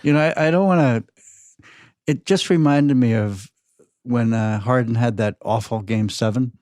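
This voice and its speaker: A man in his seventies, a podcast guest, talking sports casually and restarting his phrases in a halting way. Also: medium pitch, a little mellow, no room sound, studio quality. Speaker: podcast guest, the host's father.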